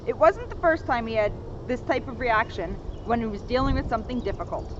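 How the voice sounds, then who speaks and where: Woman with high, animated read-aloud voice, noisy outdoors. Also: a little fast. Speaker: police officer reading a children's book to viewers. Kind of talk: read-aloud of a children's picture book. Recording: noisy outdoor recording, dull lo-fi sound.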